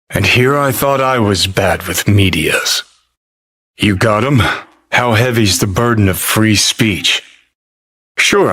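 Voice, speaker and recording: An actor playing a cynical, brash rebel rocker in a video game, with a sardonic, profane and taunting manner. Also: male voice, lowish voice, a bit bright.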